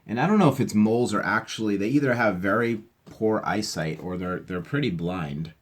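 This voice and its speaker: Male children's storytime host, lower register, informal and chatty, expressive, talks directly to young listeners. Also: normal speed. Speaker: read-aloud host for children. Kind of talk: storybook read-aloud with casual asides.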